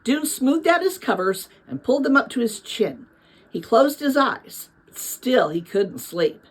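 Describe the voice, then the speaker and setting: Woman reading a story, very lively pitch. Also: a little slow, breathy edge, low-quality recording. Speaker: audiobook reader. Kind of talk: storybook read-aloud narration.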